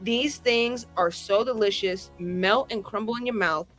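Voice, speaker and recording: A woman from Colombia talks in a casual, playful way, like a player hanging out with her gaming group. Her voice sits at a medium pitch, with faint music behind her. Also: measured pace, a bit bright. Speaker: player on a tabletop roleplaying show.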